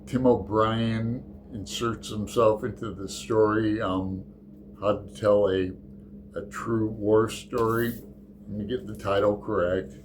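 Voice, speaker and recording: A man's voice: fairly low pitch, steady tone, slow pace, noisy background. A man hosting his book channel, chatting through a tag in a rambling, hesitant way with lots of fillers. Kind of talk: casual book tag chat.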